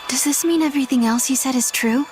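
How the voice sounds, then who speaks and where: Woman playing young fantasy game heroine, high-pitched, earnest, cutscene acting, music underneath. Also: fast talker, a little mellow, natural voice. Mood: earnest, wondering.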